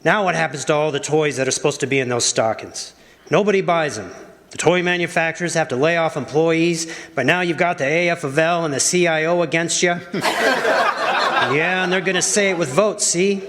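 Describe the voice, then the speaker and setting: A man's voice: on the high side, fairly bright tone, a little hiss or hum, close to an everyday voice. Man as a shrewd political fixer in an echoing hall. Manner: persuasive, folksy, emphatic. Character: shrewd, wisecracking political adviser, old friend. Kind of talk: old-fashioned radio drama acting. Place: live performance on a college campus. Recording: reverberant hall stage recording.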